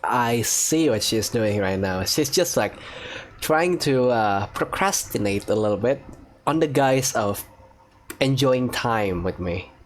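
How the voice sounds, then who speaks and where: A woman's voice: very deep voice, lively intonation, slow pace, a little hiss or hum. A woman giving casual, amused let's play commentary as she hosts a gaming video. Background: faint game music.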